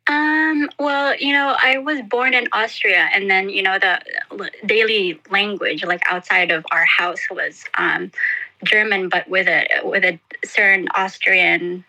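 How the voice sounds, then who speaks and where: A woman, a native Indonesian speaker, answering interview questions about growing up abroad in a hesitant, halting way with many fillers and restarts. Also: medium pitch, sharp tone, poor audio. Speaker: interview guest. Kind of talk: casual interview.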